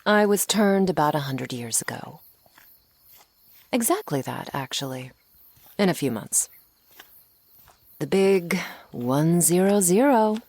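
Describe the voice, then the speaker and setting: A woman playing a teasing, menacing century-old female vampire in an intimate ASMR roleplay, sardonic and unhurried, sometimes slipping toward a whisper. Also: lowish voice, lively intonation, a little mellow, full character voice. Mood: playful boasting.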